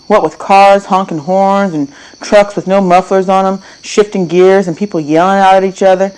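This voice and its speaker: A woman's voice: on the low side, a little mellow, low-quality recording. A woman narrating a children's novel in the first person, telling it expressively with stressed phrases. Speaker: read-aloud narrator.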